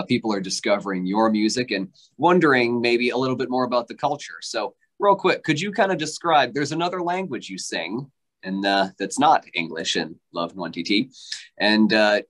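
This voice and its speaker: Upbeat young radio host. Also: male voice, lowish voice, fairly bright tone. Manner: upbeat, scripted-sounding questions, radio presenter delivery. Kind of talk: interview over video call. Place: indoor room with a station backdrop. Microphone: video call.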